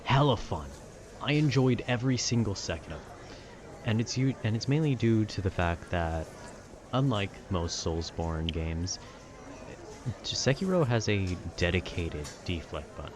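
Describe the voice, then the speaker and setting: A man with a very deep voice rambles in a casual, conversational way. He sounds nerdy and meanders from thought to thought like a gamer chatting. Clear ambient noise runs behind his voice. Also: animated, unhurried. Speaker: content creator. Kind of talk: casual rambling monologue about video games.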